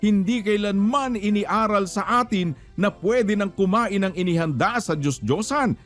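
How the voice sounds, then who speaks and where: A man's voice: high-pitched, measured pace, soft background music. A man preaching in an admonishing, emphatic way, asking rhetorical questions and quoting scripture. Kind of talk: religious exhortation with rhetorical questions and scripture quotes.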